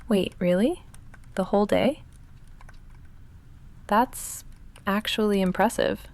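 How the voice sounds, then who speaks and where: A woman playing a young goth vampire roommate in an ASMR roleplay, speaking softly and often whispering in a dry, halting, self-deprecating way. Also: fairly low pitch. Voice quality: soft, often whispered, breathy fry.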